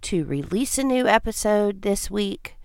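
A woman's voice: medium pitch, expressive, unhurried, clean tone, no room sound, studio quality. A woman hosting a casual homesteading podcast, congested from allergies, chatting in a folksy, friendly way. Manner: folksy, chatty, says y'all. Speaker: podcast host.

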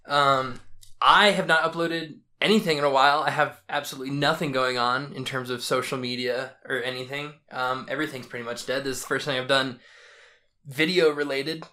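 A man's voice: mid-range voice, fairly even intonation, bright, forceful tone. A twenty-one-year-old American man, co-hosting a podcast with self-deprecating chat about games. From United States. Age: 20s. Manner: self-deprecating, quick to laugh. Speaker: podcast co-host. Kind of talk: casual chat.

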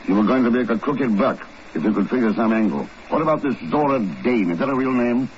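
A man's voice: medium pitch, expressive, a little fast, natural voice. An actor playing a shrewd, self-assured secret agent in a 1950s radio drama, dry and commanding as he probes. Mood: brisk, probing. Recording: dull old radio recording, faint noise.

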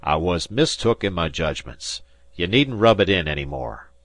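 A man doing a gruff old sea captain. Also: sits low, big pitch swings, a bit bright, dry recording, full character voice. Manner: slow rustic dialect, halting admissions. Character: gruff old fishing-schooner captain, grudging.

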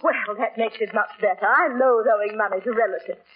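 A woman's voice: medium pitch, fairly quick, close to an everyday voice. An actress playing a fussy, prim, money-minded middle-aged mother in a 1940s radio drama. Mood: scheming. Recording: tinny, noisy vintage radio recording.

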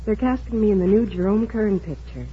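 A woman's voice: mid-range voice, fairly quick, a little hiss or hum. A woman speaking as a guest actress in a scripted 1940s radio interview. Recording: muffled 1940s radio broadcast recording.

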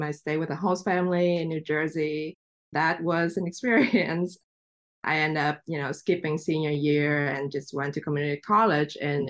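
A young woman from Vietnam whose first language is Vietnamese, telling her story in a chatty, reflective way with light laughs. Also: low-pitched. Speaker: guest journalist. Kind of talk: casual interview talk.

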